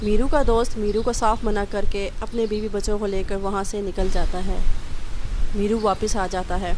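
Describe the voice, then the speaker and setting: A woman's voice: medium pitch, flat delivery, normal speed, darkish tone, slight background noise. A woman narrator reading a scripted children's story.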